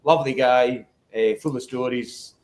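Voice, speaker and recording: A man's voice: medium pitch, steady tone, moderate pace, darkish tone. Easygoing man in an echoey room. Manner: easygoing, rambling with fillers. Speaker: distillery co-founder. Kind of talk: casual chat.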